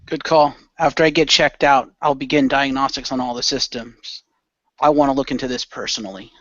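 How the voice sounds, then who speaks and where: A man's voice: mid-range voice, fairly even intonation, brisk, fairly bright tone, dry recording, lo-fi sound, lightly acted. A man playing an easygoing, practical teammate in an amateur radio play, with a casual, understated delivery. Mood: businesslike. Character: Jeff, easygoing injured male teammate, practical.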